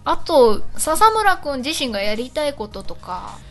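A high-voiced woman chats casually as a radio co-host. Her intonation swings freely as she banters and teases, while music plays behind her. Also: very animated, measured pace, a bit bright. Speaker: co-host of an internet radio show. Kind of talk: casual chat.

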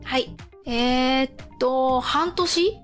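High-pitched woman hosting a chatty radio show. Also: extremely slow, faint music behind. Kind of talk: casual radio chat between co-hosts.

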